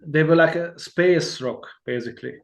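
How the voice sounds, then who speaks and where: A man's voice: a little high, normal speed, gravelly, roomy sound. A man from Hungary, speaking, talks in a rambling, friendly way as a guitarist guest in a casual interview. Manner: rambling and friendly, many fillers and restarts, laughs easily.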